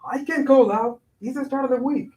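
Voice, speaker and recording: Actor as anxious responsible male buddy, high voice, exasperated, Spanish mixed in, distant echoey stage reading. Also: fairly quick, mellow.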